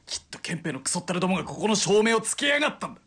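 An actor playing a calm army spotter in a radio drama, giving crisp commands in rough, joking speech. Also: male voice, high-pitched, racing, exaggerated voice. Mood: alarmed.

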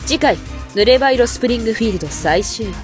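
A woman's voice: fairly high pitch, animated, fast, faint music behind. A woman giving dramatic scripted narration for an audio drama's next-episode preview.

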